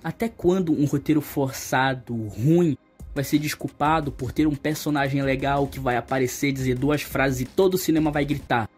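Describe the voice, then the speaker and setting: A young Brazilian man narrates a casual, opinionated video essay. His voice sits at a middle pitch, and his delivery is colloquial, with emphasis on criticism. Music plays faintly behind him. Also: moderate pace, darkish tone. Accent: Brazilian Portuguese. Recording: voice-over over background music.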